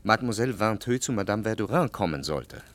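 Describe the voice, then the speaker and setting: A jealous young lover in a literary radio play. His voice sits low, and he speaks with urgent, reproachful intensity. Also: expressive, quick pace, a bit bright. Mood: agitated, reproachful. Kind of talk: German literary radio drama.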